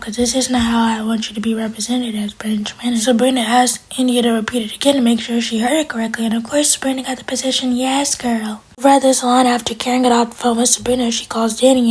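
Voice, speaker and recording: A woman's voice: on the high side, a little mellow, slightly rough, rough recording. A woman whispering her way through a casual recap of a TV episode.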